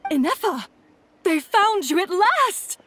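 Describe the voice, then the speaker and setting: A woman's voice: extremely high pitch, big pitch swings, takes it slowly, sharp tone, a little hiss or hum, exaggerated voice. A woman playing an emotional, caring young girl in a video game. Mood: relieved.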